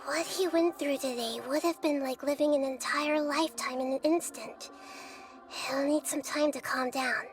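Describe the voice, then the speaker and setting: A woman voicing a gentle, childlike little deity in a video game, speaking in a measured, thoughtful and reassuring way. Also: sits high, fairly even intonation, over music, natural voice. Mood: calm, understanding. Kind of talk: video game cutscene acting.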